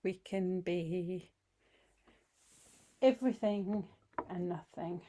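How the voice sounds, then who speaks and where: A woman guiding a yoga nidra meditation in a soothing manner, speaking in short phrases with long pauses. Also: lowish voice, dark tone. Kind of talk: slow guided meditation. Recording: voice over chime music bed. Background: meditation music with chimes.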